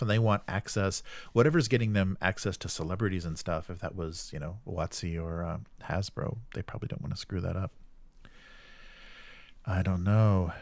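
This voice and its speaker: A man's voice: low voice, no room sound. A man hosting a casual live stream, rambling and thinking aloud as he reacts to chat comments.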